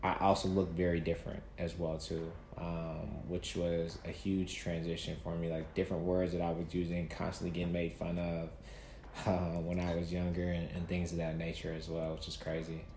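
A man in his thirties from Nigeria, a guest talking casually about his life, relaxed, with many fillers and restarts. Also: sits low, normal speed. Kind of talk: casual interview storytelling. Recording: echoey room with faint noise.

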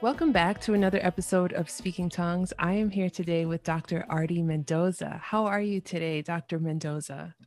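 A woman hosts an interview podcast, sounding upbeat and welcoming. Her voice sits a little low for a woman. Soft music plays faintly behind her. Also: fairly even intonation, a little fast, few pauses, no echo. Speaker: podcast host.

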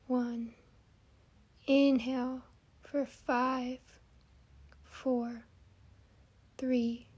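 Lady leading a guided meditation, really slow n mellow, gentle, leaves long pauses. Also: fairly high pitch, breathy edge.

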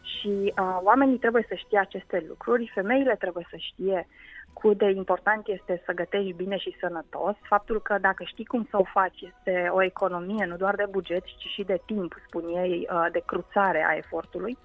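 Woman, middle pitch, explanatory radio guest, hesitant pauses, slightly dull sound, music behind. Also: steady tone, no echo. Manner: hesitant, with frequent pauses mid-phrase. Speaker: guest food culture researcher. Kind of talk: radio interview, explanatory storytelling.

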